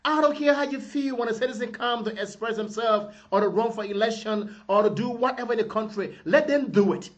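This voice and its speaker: High-voiced man preaching a fervent address. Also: fairly quick, hardly any pauses. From Liberia. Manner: preacherly, repetitive rhetorical appeals. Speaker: political advocate addressing compatriots. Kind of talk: impassioned video address.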